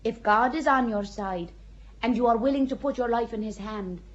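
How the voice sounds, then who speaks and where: An actress playing an earnest young peasant girl who speaks plainly and with calm, quiet defiance in a radio drama. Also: on the high side, moderate pace, lo-fi sound, natural voice. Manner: plain-spoken, calmly certain, quietly defiant.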